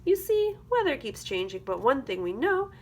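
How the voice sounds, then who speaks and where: A woman reading a children's picture book aloud as a teacher, with expressive, rhythmic delivery. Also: high-pitched, normal speed. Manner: rhythmic, expressive delivery of rhyming verse with explanatory asides. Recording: clear background noise.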